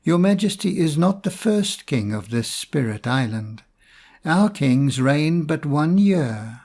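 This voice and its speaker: A man's voice: fairly high pitch, sing-song, slow, darkish tone, full character voice. A man voicing a grave, deferential old priest in a storybook, solemn, courtly and formal.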